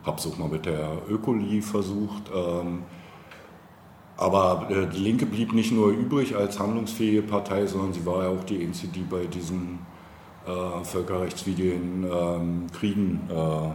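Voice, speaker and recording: A middle-aged man from East Germany giving reflective, conversational interview answers as a local politician, halting and searching for words. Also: low voice, fairly even intonation, darkish tone. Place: indoor room, face-to-face over coffee. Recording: echoey room with faint background noise.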